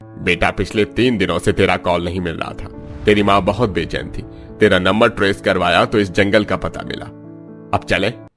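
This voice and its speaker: A man's voice: sits low, steady tone, moderate pace, a bit bright, faint music behind. A man playing an easygoing middle-aged father in a cartoon story, with a fatherly, indulgent manner. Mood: concerned. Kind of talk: Hindi cartoon story dialogue.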